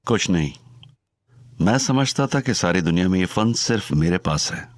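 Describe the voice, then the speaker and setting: An actor playing a dangerous older male gangster in an audio drama, talking slowly with calm, amused menace. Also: deep voice. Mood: subdued. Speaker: Chacha Singhi.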